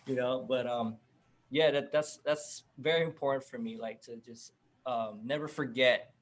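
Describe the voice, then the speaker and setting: A young man who grew up in the Philippines chats as a guest on a podcast. His voice is mid-pitched, and his talk is relaxed and full of fillers. He laughs often and restarts his sentences. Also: moderate pace. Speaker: rapper, guest. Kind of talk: casual interview chat.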